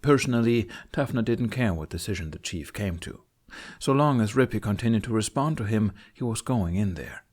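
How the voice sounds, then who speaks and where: A man's voice: on the low side, lively intonation, normal speed, a little mellow. A man reading a fantasy audiobook as scripted narration.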